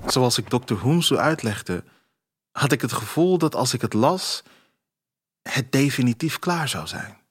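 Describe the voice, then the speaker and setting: A man narrating a scripted first-person audio drama in a measured, reflective storytelling manner. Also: medium pitch, expressive, slightly rough. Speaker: author reading own story. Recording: music under the voice. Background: music bed.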